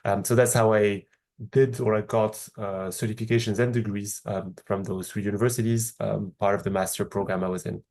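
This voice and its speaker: A man in his thirties from Morocco with a French accent, answering interview questions in a measured, explanatory way with frequent hesitations. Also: fairly low pitch, steady tone, a little mellow. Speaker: podcast guest, startup founder.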